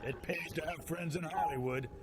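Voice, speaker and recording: A man's voice: on the high side, moderate pace, soft background music, lightly acted. A man playing a brash, cocky film director turned adventurer with an American accent, in old radio serial style. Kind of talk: 1940s radio serial acting.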